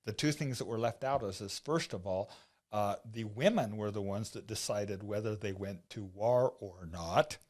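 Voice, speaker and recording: A man's voice: lowish voice, moderate pace, a little mellow. A man hosting an interview, affirming his guest and reflecting thoughtfully on what he hears. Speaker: interview host. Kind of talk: conversational interview.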